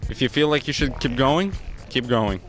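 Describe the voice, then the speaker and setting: A man's voice: mid-range voice, normal speed, soft background music. A young man from Latvia chatting casually as he answers interview questions. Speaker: member of the public being interviewed. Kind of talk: casual chat.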